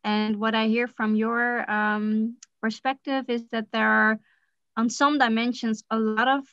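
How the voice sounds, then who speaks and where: A woman moderating an online workshop, soft-spoken as she calls on participants, heard over a laptop video call. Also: fairly high pitch, little pitch movement, unhurried, clean tone, dry recording. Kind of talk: facilitating a group discussion. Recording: dull dry video-call audio.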